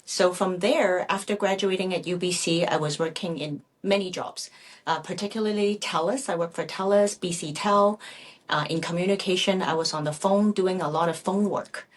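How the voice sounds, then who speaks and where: Husky, low-pitched middle-aged woman. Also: moderate pace. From Vancouver, Canada. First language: Chinese. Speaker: real estate agent guest. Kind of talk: conversational interview answers.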